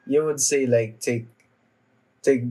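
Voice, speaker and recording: A man's voice: medium pitch, fairly even intonation, dark tone. A young adult man who is a little under the weather, giving casual, hesitant reaction commentary as a video host. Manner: halting, hesitant delivery. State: somewhat sick with a cough. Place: small indoor room at home.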